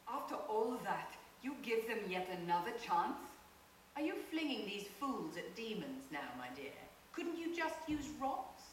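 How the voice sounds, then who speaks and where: Scornful grand lady mage, arch, higher-pitched, lively swoops, distant game dialogue. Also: unhurried. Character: haughty, sardonic female court sorceress. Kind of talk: video game cutscene dialogue. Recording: very echoey, distant off-screen capture, hissy.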